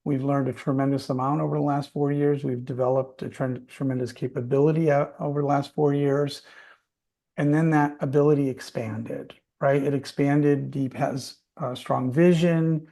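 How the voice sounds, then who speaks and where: Creaky-voiced male executive speaking emphatically. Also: medium pitch, flat delivery, moderate pace, dark tone. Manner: emphatic, repeats phrases for stress. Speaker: company chief executive. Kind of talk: earnings call question-and-answer, off the cuff.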